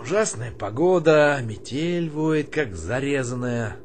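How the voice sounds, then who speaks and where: A man playing an older army colonel, gruff, commanding and sardonic, in a radio drama. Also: mid-range voice, lively intonation, takes it slowly, a little hiss or hum. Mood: mock-courtly, teasing. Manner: commanding, mock-courtly, sardonic. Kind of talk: theatrical radio drama acting.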